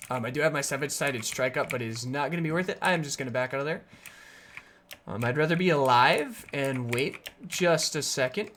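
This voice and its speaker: A game streamer giving live gameplay commentary in a raspy, sore voice, upbeat and explaining plays with sudden excited outbursts. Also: mid-range voice, a little hiss or hum. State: raspy, sore voice from energy drinks.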